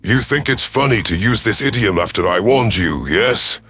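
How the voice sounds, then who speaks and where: A man reading a first-person science fiction story as a stern alien commander, with formal, measured wording. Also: lowish voice, lively intonation, a bit bright, soft background music. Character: stern alien reptilian military commander narrating. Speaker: audiobook narrator of science fiction.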